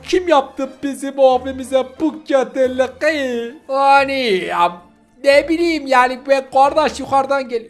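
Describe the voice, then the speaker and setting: A man puts on a melodramatic dog from a village clan, high in pitch with a rural Turkish accent. The delivery is lamenting and drawn out, like a storybook mourner. Music plays faintly behind. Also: unhurried. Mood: outraged. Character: dialect-speaking clan dogs wailing melodramatically over their fallen leader.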